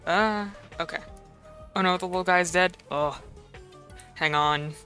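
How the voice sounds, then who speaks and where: Woman streamer, a bit hoarse, low-ish pitch, rambling playful chat. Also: measured pace, pushed and bright, faint music behind. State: slightly hoarse. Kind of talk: casual live-stream chat.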